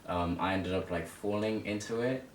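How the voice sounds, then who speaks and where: A man in his early twenties from Zimbabwe telling personal stories as an interview guest in a casual, halting, hesitant way. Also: fairly even intonation. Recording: echoey room, distant mic, rumbling noise.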